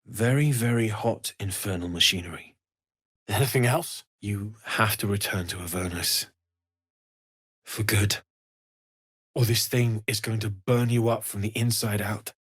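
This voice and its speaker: An actor playing a kindly, earnest young blacksmith in a fantasy video game, with a husky, breathy voice and an understated manner. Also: male voice, fairly low pitch, unhurried, a bit bright. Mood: earnest, helpful. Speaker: blacksmith and trader. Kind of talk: video game character dialogue.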